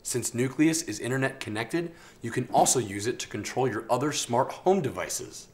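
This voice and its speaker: A man in his thirties presents a scripted comic promo. His pitch sits in the middle and swings widely, with an upbeat salesman's delivery. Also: lively intonation, moderate pace, a little mellow. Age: 30s.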